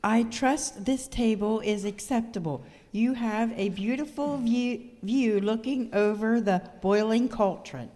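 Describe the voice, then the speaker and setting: A woman's voice: fairly high pitch, a little slow, hardly any pauses, warm tone, lightly acted. A woman playing an eerie, formal hostess of a ghoulish dining room, ceremonious, in an amateur radio skit. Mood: ghoulish welcome. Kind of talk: amateur live comedy horror radio skit. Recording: echoey hall, long reverb.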